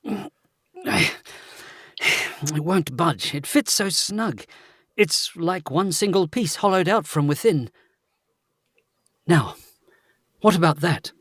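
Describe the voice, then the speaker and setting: High-voiced, animated, curious gentleman, played by a man. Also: takes it slowly, pauses often, no echo, clean studio sound, full character voice. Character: curious, courteous young gentleman, easily amazed. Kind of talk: gothic audio drama acting.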